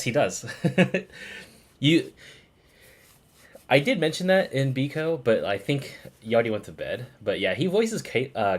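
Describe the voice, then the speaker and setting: A man streaming a game, giving casual, informal commentary and thinking aloud as he goes. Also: mid-range voice.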